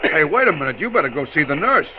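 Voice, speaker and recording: High-voiced 1940s radio workman. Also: big pitch swings, fast. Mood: insistent. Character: bossy, concerned male factory coworker. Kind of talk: 1940s radio drama acting. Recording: tinny, very noisy 1940s radio recording.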